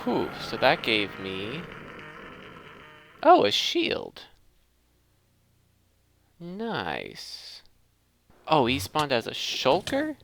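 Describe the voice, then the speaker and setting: A man's voice: mid-range voice, huge pitch swings, very slow, gravelly, long pauses, noisy background. A man hosting a gameplay video, thinking aloud in a casual commentary addressed to his viewers. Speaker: host of a gaming let's play series.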